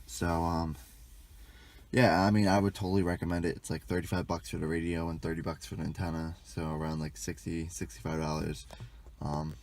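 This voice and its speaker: Low-voiced young man, casual walkthrough. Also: flat delivery, fairly quick, a little mellow, a little hiss or hum. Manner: informal, rambling, mild swearing. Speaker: vlogger demonstrating a car radio installation. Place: in and around a parked car outdoors.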